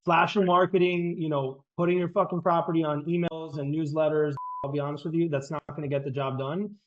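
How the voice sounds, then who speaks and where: A confident man pitching on a sales call, talking quickly and restarting his sentences as he presses his point. His voice sits a bit high, over muffled, lo-fi audio. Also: little pitch movement. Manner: persuasive, confident, repeats words as he starts sentences. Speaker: real estate agent and sales coach.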